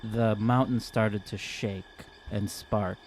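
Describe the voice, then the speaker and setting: A man's voice: fairly low pitch, steady tone, slow pace, darkish tone. A man playing a tabletop role-playing game, speaking in casual improvised in-character chat.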